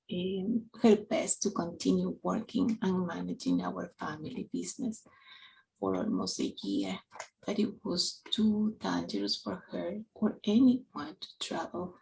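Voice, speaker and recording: A woman's voice: on the low side, vocal fry, poor audio. A woman in her forties from Peru with a Peruvian Spanish accent, speaking English as a second language while giving an earnest personal reflection for a church novena. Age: 40s. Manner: slow, earnest and halting, with soft whispered stretches. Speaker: parish council member giving a reflection.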